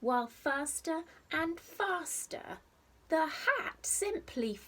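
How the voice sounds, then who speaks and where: A woman reading a picture book aloud to young children like a teacher, expressively, with dramatic pauses and stressed rhymes and sound words. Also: high voice, lively intonation, a bit bright. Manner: simple explanatory asides addressed to young children. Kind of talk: animated storybook read-aloud.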